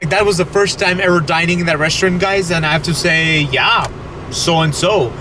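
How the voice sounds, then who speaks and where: A man's voice: on the high side, normal speed. A young man from Belgium vlogging casually from the driver's seat of a car, chatty and hesitant with many restarts, talking to viewers through a camera on the dashboard. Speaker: food vlogger. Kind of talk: casual vlog chat to camera. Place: inside a car, driving. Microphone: camera mounted on dashboard. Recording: car and road noise behind.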